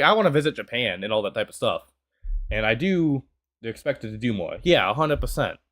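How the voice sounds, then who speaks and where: A twenty-year-old man from the United States giving casual, rambling reaction commentary, full of "you know" fillers. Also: mid-range voice. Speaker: YouTube reactor.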